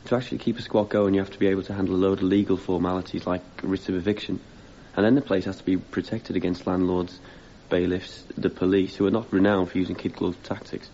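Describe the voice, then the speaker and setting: Radio drama: young radical defendant, plain and thoughtful, low bright voice, faint noise. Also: male voice. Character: earnest young male activist defendant giving evidence. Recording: dull, faintly noisy radio broadcast copy.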